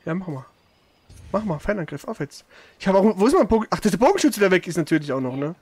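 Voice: mid-range voice, very animated, quick pace, darkish tone, breathy edge, dry recording. A gamer giving casual let's play commentary, grumbling and swearing in a slangy way.

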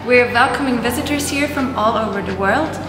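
A woman's voice: a little high, fairly quick. A woman from Germany, a volunteer, showing visitors around a frescoed palace and explaining its exhibition. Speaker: volunteer guide. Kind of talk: casual video tour. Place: large frescoed palace hall, indoors. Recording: echoey hall with music.

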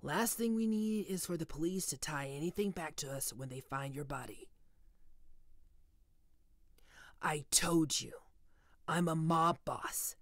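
Man acting out a slow-talking, cocky mob boss. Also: a little high, no room sound, clean studio sound. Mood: threatening. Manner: commanding, taunting, slow deliberate delivery. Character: cocky, ruthless male mob boss with a teasing protective streak. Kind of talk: ASMR roleplay audio drama. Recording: loud music bed under voice. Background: music.

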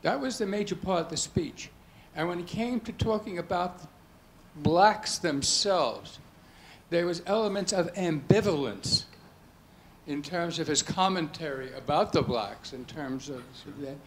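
A man in the audience at a public talk, putting a question in halting, careful phrases. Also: fairly high pitch, lively intonation, slight background noise. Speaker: audience member asking a question. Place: lecture hall audience seats.

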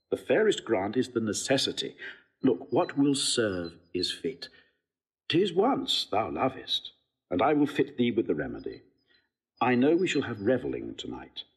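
Husky, animated noble prince. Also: male voice, on the low side, full character voice. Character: noble prince, generous, assured, playful matchmaker. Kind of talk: classical Shakespearean audio drama acting.

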